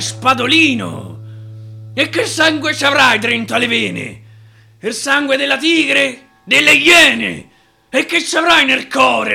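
A man's voice: extremely high pitch, vocal fry, sharp tone, voice pushed up, full character voice. A man playing a betrayed explorer in a radio theatre verse monologue, indignant and declamatory, with a Roman accent. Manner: indignant, declamatory rhetorical questioning. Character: betrayed explorer raging at an ungrateful king.

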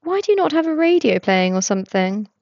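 A nosy, chatty man with a raised, eager voice, prying with question after question, as a woman reader puts him on. The sound is somewhat gravelly and close, on a slightly dull recording. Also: on the high side, sing-song, fairly quick, darkish tone, no echo. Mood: curious, pleased.

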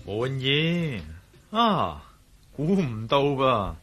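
An actor with a Hong Kong Cantonese accent playing a curious, teasing, easygoing adventurer in a radio drama. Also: male voice, mid-range voice, very animated, very slow, a bit bright, heavily acted. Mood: earnest. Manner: teasing, inquisitive. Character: curious, easygoing male adventurer, wry traveler.

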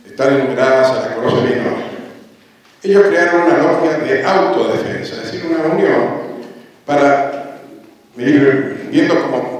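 A man lectures on history in a storytelling way, asking questions and answering them. His voice sits at an ordinary pitch and comes from some distance off the microphone, washed in heavy echo and the long reverb of a big hall. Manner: rhetorical questions, re-enacts dialogue. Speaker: conference speaker, history lecturer. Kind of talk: anecdotal public history lecture. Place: large echoey hall at a conference.

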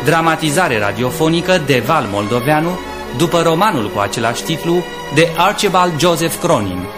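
A male announcer presents a radio play in a scripted, formal reading. His voice sits fairly high, with prominent music playing loudly behind it. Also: expressive. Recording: voice over loud music. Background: loud music.

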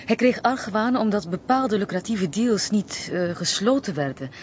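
An actress playing a guarded, composed intelligence agent with a Flemish accent, evasive and slightly condescending. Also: female voice, mid-range voice, expressive, moderate pace, slight background noise, lightly acted. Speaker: secret service agent. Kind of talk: television crime drama. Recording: dull lo-fi TV audio with faint ambience.

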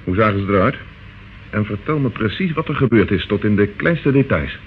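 A man plays a steady police inspector from an old radio play. He questions and states things firmly and in a businesslike way. The sound is thin, phone-like and hissy. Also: fairly low pitch, a little fast, lightly acted. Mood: urgent, probing. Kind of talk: old radio drama acting. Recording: muffled, noisy old radio recording.

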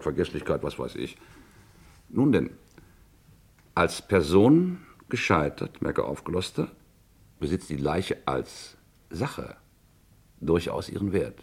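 An actor playing a grandiloquent, cynical schemer in a radio crime play, speaking in a theatrical, rhetorical way. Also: male voice, sits low, husky, full character voice. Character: smooth, grandiloquent male schemer, cynical con man.

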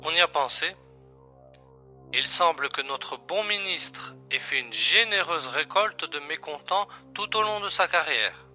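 A man's voice: on the high side, lively intonation, measured pace, pushed and bright, faint music behind, exaggerated voice. An actor playing an agent's wry, familiar and matter-of-fact male colleague in a science-fiction audio drama.

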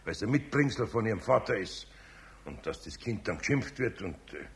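Kindly old Bavarian workshop master, raspy, lowish, animated, grandfatherly, for children's radio drama. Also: fairly quick, full character voice. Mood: earnest, pleading. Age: older. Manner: colloquial, coaxing, grandfatherly. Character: good-natured older Bavarian master craftsman, patient but exasperated. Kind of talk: children's radio play acting.

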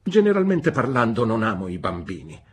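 A man voicing a stern, severe middle-aged woman, shrill and imperious. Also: wildly swooping pitch, fairly quick, breathy edge, full character voice. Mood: cold.

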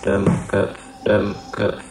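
A man's voice: drawn-out words, loud music behind the voice. A man playing an unfinished android in a radio drama, repeating words in a stiff, mechanical way. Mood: blank, parroting. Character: unfinished male android parroting what it hears.

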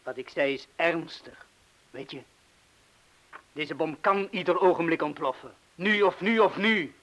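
An actor playing a weary, irritable middle-aged man in a radio drama, speaking haltingly in broken-off phrases. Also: on the high side, fairly bright tone. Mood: urgent, exasperated.

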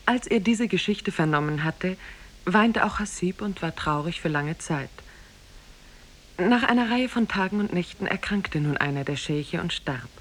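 An actress as the narrator of an Arabian fairy tale, grave and measured in 1950s radio storytelling style. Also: female voice, fairly low pitch, expressive. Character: solemn female teller of an Arabian Nights tale. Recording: 1950s radio recording from cassette.

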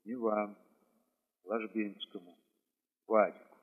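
A man voicing an old, taciturn accordionist in an audio drama, speaking tersely and haltingly in a subdued way. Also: lowish voice, little pitch movement, in a large hall, phone-quality sound, voice pushed down. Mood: grave, subdued. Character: old taciturn male accordionist, a quiet heavy smoker.

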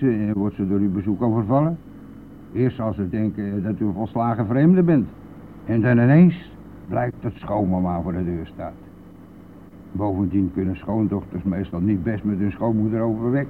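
An actor playing a boastful, brash and talkative bus driver in a radio play, with a blustery, colloquial manner. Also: male voice, lowish voice, lightly acted. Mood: chatty. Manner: blustery, colloquial, mildly swearing. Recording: narrow phone-like old radio recording, faint noise.

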